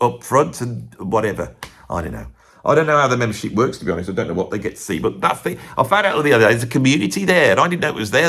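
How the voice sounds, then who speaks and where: Tired, gravelly-voiced man rambling. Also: medium pitch, a bit bright. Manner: rambling, thinking aloud with fillers and restarts. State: tired and croaky. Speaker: music video host. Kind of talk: casual monologue to camera.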